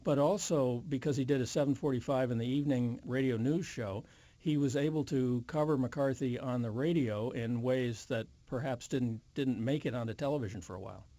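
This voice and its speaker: An older man as an interview guest, reflecting in a measured way with careful word choice. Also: medium pitch, mellow, no room sound.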